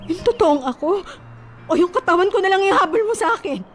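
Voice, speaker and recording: A woman's voice: extremely high pitch, animated, brisk, soft background music. A woman acting a distressed, pleading wife who resists her husband in a radio drama. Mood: hurt, pleading. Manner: pleading, emotional protests.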